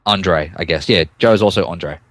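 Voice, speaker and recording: A man's voice: low-pitched, normal speed, fairly bright tone, no echo. A man co-hosting and narrating a comic dramatic reading, mock-dramatic and often stumbling over his words. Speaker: podcast co-host and narrator.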